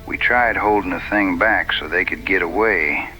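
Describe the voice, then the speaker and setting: Gruff frontiersman acted by a man: deep pitch, hard bright edge, backwoods phrasing, faint music underneath. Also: moderate pace, low-quality recording. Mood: shaken. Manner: rustic, plain-spoken phrasing. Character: gruff, rustic, rattled frontiersman. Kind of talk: dramatized audio western dialogue.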